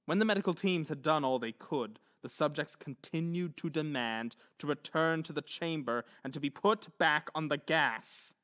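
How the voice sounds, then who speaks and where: A man's voice: a little high, normal speed, few pauses, fairly bright tone. A man narrating a horror story in an intense, theatrical manner.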